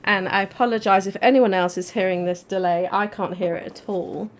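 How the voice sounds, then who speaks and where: A woman's voice: mid-range voice, fairly even intonation, brisk. A woman hosting a live interview over a video call, friendly and chatty, with many "like" fillers. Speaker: host. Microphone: video call mic.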